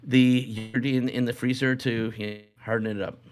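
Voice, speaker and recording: A man co-hosting a casual unscripted podcast, speaking haltingly and repeating phrases for emphasis. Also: mid-range voice, flat delivery. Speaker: podcast co-host.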